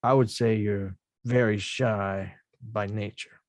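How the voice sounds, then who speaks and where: A man's voice: on the low side, steady tone, takes it slowly, darkish tone, no room sound. Rocky, a blunt boxer, read by a man. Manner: slangy, blunt, self-mocking. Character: Rocky, a rough-talking young male boxer. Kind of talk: script table read.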